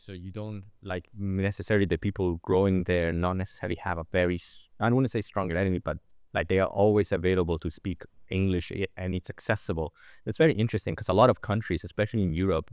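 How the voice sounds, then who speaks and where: Deep-voiced relaxed podcast host. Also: male voice, fast talker, clean tone, no echo, studio quality. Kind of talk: casual interview talk.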